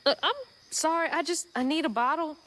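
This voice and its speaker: A woman's voice: high-pitched, brisk, fairly bright tone. A woman voicing a determined young heroine in a video game, plain-spoken and earnest, with a Southern American accent. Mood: hesitant, pleading. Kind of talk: video game character dialogue.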